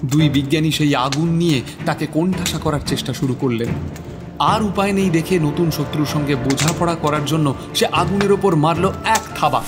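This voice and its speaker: Male narrator, fairly high voice, theatrical horror storytelling, suspenseful, prominent music underneath. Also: moderate pace.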